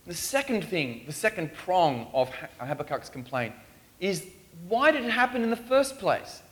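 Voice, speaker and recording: A man's voice: high voice, very animated, moderate pace, fairly bright tone. A man preaching a sermon in a church in Australia, earnest and pleading as he poses questions.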